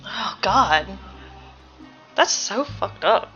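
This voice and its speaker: A woman giving casual game reaction commentary, creaky-voiced, analytical and sweary as she thinks aloud. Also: mid-range voice, animated, drawn-out words, bright, forceful tone, dull audio, soft background music.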